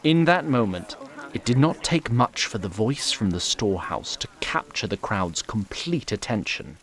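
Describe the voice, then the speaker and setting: A man's voice: on the low side, lively intonation, moderate pace, fairly bright tone. A man narrating a scripted fantasy audio drama in a literary, wry storytelling manner.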